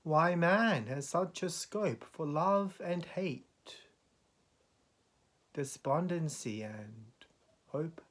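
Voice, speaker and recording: A man with a somewhat high voice recites verse at an extremely slow pace. His delivery is solemn and dramatic, each phrase set apart by a deliberate pause. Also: expressive, a bit bright. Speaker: poetry reader.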